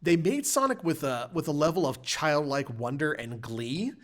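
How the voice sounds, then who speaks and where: A man's voice: fairly high pitch, animated, moderate pace, a bit bright. A man hosting a podcast in a casual film review conversation, thinking aloud with restarts as he goes. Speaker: podcast host.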